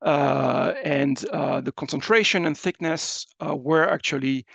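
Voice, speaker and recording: A man giving a scientific presentation as a researcher, explaining slides in a halting, hesitant way with frequent fillers. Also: a little high, vocal fry, a bit bright, no room sound.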